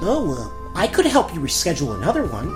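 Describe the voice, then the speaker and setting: A man voicing a cowardly, blustering young prince who stammers excitably in a cartoon parody. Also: a little high, a little fast, over music. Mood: sheepish. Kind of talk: cartoon gag-dub parody.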